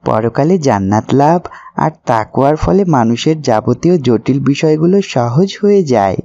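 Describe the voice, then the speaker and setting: A man's voice: mid-range voice, expressive, moderate pace, mellow, dull audio, natural voice. A man voicing an earnest, pious young man in a moral cartoon, speaking didactically. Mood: earnest, instructive. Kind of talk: cartoon voice acting, moral preaching.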